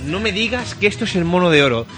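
A man with a Spain Spanish accent hosting casual comedic radio chat in a crude, jokey, excitable manner. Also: sits high, fast, music in the background. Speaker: radio host.

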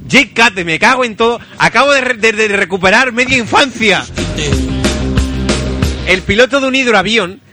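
A man with an accent from Spain hosting a casual radio show, chatting in an excitable, rambling and sweary way. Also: sits high, normal speed, long pauses, music in the background. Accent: Spanish from Spain. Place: radio studio, at a microphone.

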